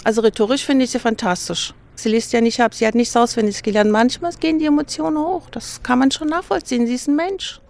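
A woman's voice: fairly high pitch, fast talker, creaky, few pauses, a little mellow. A woman from Afghanistan speaking earnestly and emphatically in an interview outdoors. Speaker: party member interviewee.

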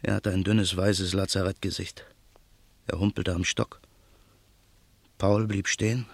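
A man's voice: low voice, level tone, a little slow, vocal fry, no echo, full character voice. An actor playing a vengeful man who broods in a grim inner monologue in a radio drama. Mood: brooding. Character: vengeful man waiting in a car at night. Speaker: narrator and protagonist. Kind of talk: 1950s radio drama acting.

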